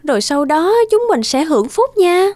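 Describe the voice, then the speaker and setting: A woman voicing a scheming young gold-digger in an animated moral tale, coaxing and sly. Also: sits high, normal speed.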